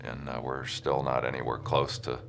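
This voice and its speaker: Very deep-voiced man recalling a crisis. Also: flat delivery, normal speed, gravelly, background noise. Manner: earnest, reflective, with hesitant restarts. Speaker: interviewee, Air Force pilot. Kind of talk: documentary interview recollection. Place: dark interview studio. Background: loud dramatic score.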